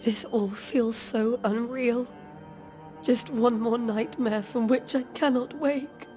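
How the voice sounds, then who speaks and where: Grieving widow of colonial times: haunted, formal, very unhurried delivery, light music behind. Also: female voice, on the high side, steady tone, a little mellow. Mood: haunted, sorrowful. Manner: sorrowful, deliberate, formal. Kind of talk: video game cutscene acting. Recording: music under the dialogue.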